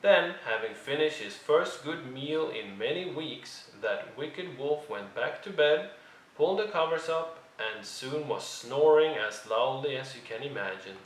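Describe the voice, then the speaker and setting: A man narrating a children's read-along story in an expressive storytelling style. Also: mid-range voice, unhurried. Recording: roomy, distant microphone.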